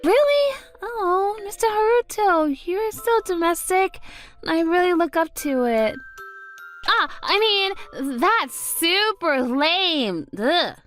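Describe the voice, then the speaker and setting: A woman's voice: very high voice, lively intonation, takes it slowly, a bit bright, full character voice. A woman voicing a brash teenage wannabe rebel girl in an anime-style romcom, secretly sweet, her bravado slipping into bashful stammers. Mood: excited, bashful. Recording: music under the voice. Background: background music.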